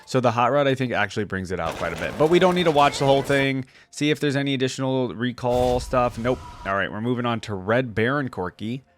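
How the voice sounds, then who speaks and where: A man's voice: medium pitch, fast, hardly any pauses, a little hiss or hum. A man giving casual, off-the-cuff reaction commentary on video game skins as a video host.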